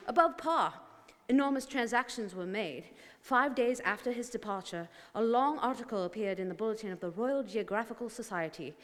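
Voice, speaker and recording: A woman's voice: on the high side, lightly acted. A woman narrator delivering scripted narration in a stage radio drama. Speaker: narrator and supporting actor. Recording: reverberant studio hall.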